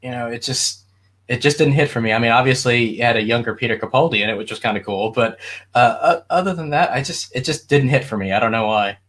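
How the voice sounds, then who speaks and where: A man chatting casually on a podcast panel, agreeable and quick to affirm others. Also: mid-range voice, fairly bright tone. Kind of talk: casual chat.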